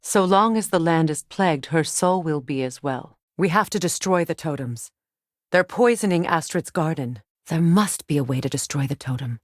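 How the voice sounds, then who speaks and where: A woman playing a solemn, compassionate, determined ancient goddess in a video game, speaking in a measured, formal, earnest way. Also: sits low, expressive, no echo, clean studio sound. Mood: resolute. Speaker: video game character voice actor. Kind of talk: dramatic game dialogue.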